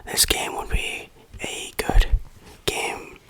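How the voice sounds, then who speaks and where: A game reviewer whispering in a deliberate, playful ASMR style.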